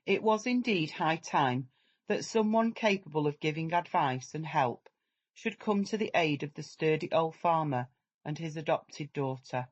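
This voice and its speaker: A man narrating a literary story as a measured, dramatic audiobook reading. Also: on the high side, animated, muffled recording.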